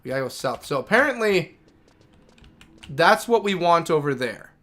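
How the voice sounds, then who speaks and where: Slightly high-voiced man streaming a game. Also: very animated, normal speed, a bit bright. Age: 30s. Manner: thinks aloud, rambling and chatty. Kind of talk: casual livestream chat.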